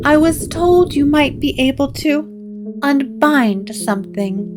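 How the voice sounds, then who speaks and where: A woman puts on a timid young woman for a gothic audio drama. Her voice is lifted high and sounds hesitant and unsure. Music sits clearly underneath. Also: animated, takes it slowly.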